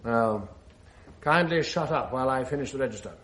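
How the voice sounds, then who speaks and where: An actor playing a middle-aged English schoolmaster, blustering, clipped and teasing, in a radio drama. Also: male voice, medium pitch, lively intonation, a little fast, a bit bright, lightly acted. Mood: mock-stern. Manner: clipped, bantering, mock-stern, old-fashioned.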